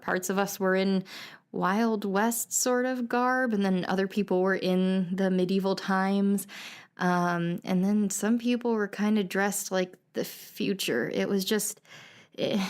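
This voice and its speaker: A woman's voice: medium pitch, clear, resonant tone. Meandering woman telling a casual tale. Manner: hesitant, meandering, conversational. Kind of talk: improvised casual storytelling.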